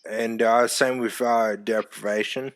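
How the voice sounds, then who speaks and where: A man with a deep, loud voice, telling animated stories in casual chat and swearing casually. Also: a little slow. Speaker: former youth music event host.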